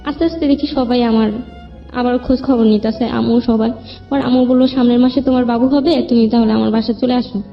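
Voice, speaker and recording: A young woman with a Bangladeshi accent and a fairly high voice recounts her own life in loose, everyday speech. She rambles as an interview guest. The sound is somewhat dull, with music underneath. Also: steady tone, fairly quick, vocal fry. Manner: colloquial, rambling narrative. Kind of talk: personal storytelling in an interview. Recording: muffled lo-fi audio, music behind. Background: background music.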